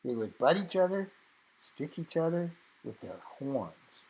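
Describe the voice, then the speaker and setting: A man reading a children's story aloud, expressively, with dramatic emphasis and drawn-out phrases. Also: a little high, very animated, creaky, a little mellow, breathy edge, poor audio.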